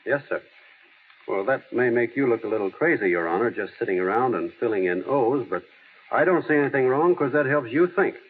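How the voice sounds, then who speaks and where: A man's voice: medium pitch, animated, fairly quick, lightly acted. An actor playing an earnest, plainspoken small-town American man in old radio drama style, folksy and a little hesitant. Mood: matter-of-fact. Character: earnest small-town everyman, plainspoken, gently wry, heir to a fortune. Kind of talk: 1930s-40s American radio drama acting. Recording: tinny, hissy old radio broadcast.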